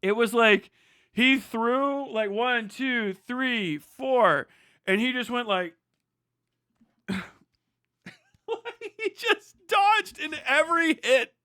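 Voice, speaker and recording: High-pitched man riffing on a livestream. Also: animated, drawn-out words, long pauses, sharp tone, clear, resonant tone, dry recording, studio quality. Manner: rambling, heavily profane, emphatic. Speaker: livestream host. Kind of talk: casual opinionated livestream commentary.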